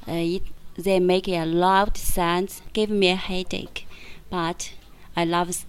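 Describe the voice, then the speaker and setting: Woman, halting self-introduction, low-ish voice, very slow. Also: flat delivery, clear, resonant tone. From China. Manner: halting, searching for words.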